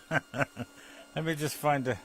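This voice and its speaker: A man's voice: fairly high pitch, fairly even intonation, very slow, a bit bright. A British man in a rambling, chuckly casual chat, often muttering to himself as he operates an amateur television link.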